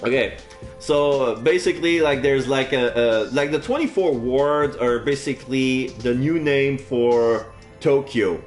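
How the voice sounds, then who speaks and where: A man's voice: mid-range voice, a little slow, echoey room, soft background music. A man in his forties from French Canada with a French Canadian accent, chatting casually on a live stream, rambling with lots of fillers and restarts. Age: 40s.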